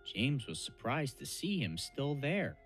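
A man's voice: medium pitch, big pitch swings, a little slow, faint music behind. A man reading scripted storybook narration for a cartoon dub.

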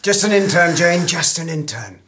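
A man's voice: fairly high pitch, animated, moderate pace, fairly bright tone, a little hiss or hum. A man playing a harried middle-aged radio producer, flippant, sarcastic and evasive. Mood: placating. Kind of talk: naturalistic screen comedy-drama acting. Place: small office.

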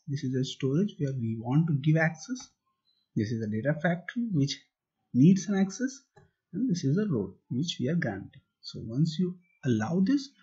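A man's voice: on the high side, warm tone, slightly rough. A man teaching a tutorial over slides and a screen demo, explaining step by step and asking and answering his own questions. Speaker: tutorial instructor. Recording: muffled lo-fi recording.

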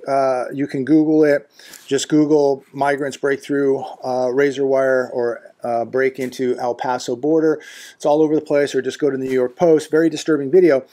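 A man's voice: mid-range voice, a little mellow. Alarmed man's emphatic commentary monologue. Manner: alarmed, emphatic, repetitive with frequent restarts and fillers. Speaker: commentary vlogger. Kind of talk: unscripted news commentary monologue. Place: living room indoors.

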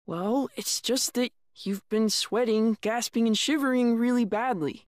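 A woman's voice: fairly high pitch, measured pace, darkish tone, dry recording, clean studio sound, lightly acted. A woman voicing a blunt, sullen young boy in an anime dub, speaking in a matter-of-fact way. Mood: uneasy, polite.

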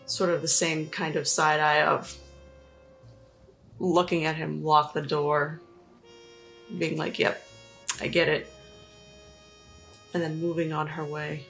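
A woman with a fairly low voice and noticeable fry takes part in a tabletop game. She narrates her character's movements with soft music behind her. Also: level tone, unhurried, long pauses. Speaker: player in a tabletop role-play podcast.

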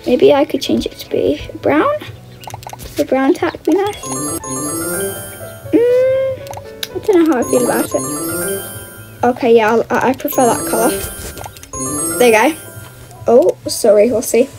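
Female gaming host, high voice, casual and exclamatory, thinking aloud, faint music underneath. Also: animated, unhurried, pauses often. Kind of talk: casual gameplay commentary.

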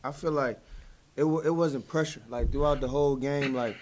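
A young man, a college basketball player, giving laid-back, low-key answers at a post-game press conference into a table mic. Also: normal speed, a little mellow, a little hiss or hum. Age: young adult. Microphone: table mic in front.

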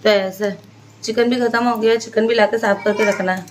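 A woman's voice: fairly high pitch, fairly even intonation, normal speed, reverberant, distant mic, noisy background. Chatty young woman vlogging at home. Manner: chatty, talks to her baby in a sing-song coaxing way. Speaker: family vlogger. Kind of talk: casual home vlog chat. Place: home kitchen.

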